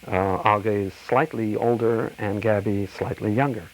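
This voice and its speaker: An older man from Slovakia, recounting his life in a measured, slightly formal way. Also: on the low side, fairly even intonation, slight background noise, poor audio. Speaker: interviewee. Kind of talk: personal oral-history reminiscence.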